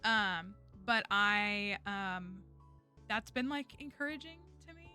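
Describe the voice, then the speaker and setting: A woman's voice: mid-range voice, lively intonation, takes it slowly, sharp tone, smooth. A young woman streamer, chatting casually and rambling with lots of fillers and restarts. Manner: rambling, filler-heavy, frequent restarts and laughs. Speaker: streamer and online teacher. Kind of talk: casual livestream chat. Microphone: headset or desk mic. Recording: music bed playing faintly underneath.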